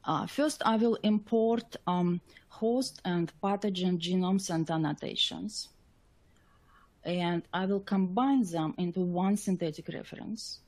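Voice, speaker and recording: A woman scientist presenting a technical slide lecture in a webinar, with a halting, hesitant delivery. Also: fairly low pitch, darkish tone.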